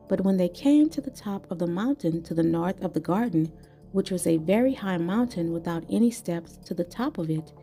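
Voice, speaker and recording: A woman's voice: mid-range voice, warm tone. A woman with a slightly crackling, tiring voice reads scripture and explains it in an earnest, instructive way, as a ministry teacher. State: voice crackling, starting to get hoarse. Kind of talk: scripture reading and religious teaching.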